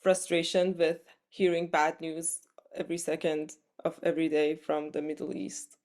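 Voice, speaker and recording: A woman from Iran speaks casually as a playwright on a video call. Her voice is slightly low and her answers are halting. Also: little pitch movement, a little mellow.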